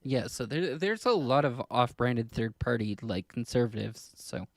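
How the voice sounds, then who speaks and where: A man's voice: mid-range voice, lively intonation, moderate pace, no echo, clean studio sound. A man co-hosting a casual political chat, speaking hesitantly as he searches for words. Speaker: podcast co-host.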